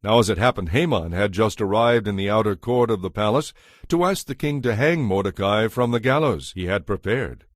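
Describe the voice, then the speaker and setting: Male narrator reading scripture as a story, measured, lowish voice, very dry recording. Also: expressive, no echo. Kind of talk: scripted dramatic Bible narration.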